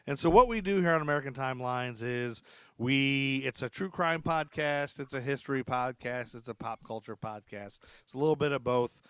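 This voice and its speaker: A man's voice: medium pitch, moderate pace, a bit bright, clean tone, dry recording. A man hosting a casual history podcast, chatty and rambling, laughing often. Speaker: podcast host. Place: lives in Charlotte.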